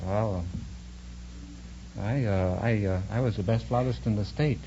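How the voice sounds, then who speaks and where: A young man from Montana answers as a radio quiz contestant in a fairly low voice. He is earnest and easily flustered, stumbling and restarting. The old broadcast sounds muffled and quite noisy. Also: measured pace, dark tone. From Montana, United States. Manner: hesitant, flustered, earnest, repeating himself.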